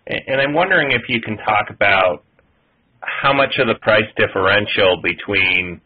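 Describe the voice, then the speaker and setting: Male financial analyst, low-pitched and slightly husky, putting detailed measured questions over a narrow phone connection. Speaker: analyst asking questions on a conference call. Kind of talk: questioning on an earnings call. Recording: muffled, tinny conference phone line.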